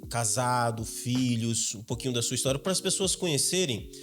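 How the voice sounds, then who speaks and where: A man's voice: mid-range voice, lively intonation, fairly quick, fairly bright tone, faint music behind. A man hosting a podcast, asking a guest questions in an informal, friendly way that often trails off. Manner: informal, friendly interviewer who trails off and repeats words. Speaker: podcast host. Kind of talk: casual podcast interviewing.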